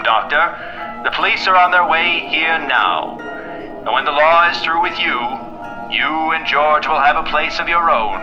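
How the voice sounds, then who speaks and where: An actor playing a menacing, all-knowing crime fighter in 1950s radio mystery style, ominous and theatrical. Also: male voice, a little high, highs cut off, close to an everyday voice. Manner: slow, deliberate, ominous, theatrical.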